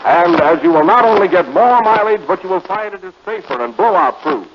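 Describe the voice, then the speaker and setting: A man's voice: sits high, lively intonation, fairly quick, crowd noise. A man announcing on 1930s radio, reading a scripted commercial. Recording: dull, lo-fi 1930s radio recording.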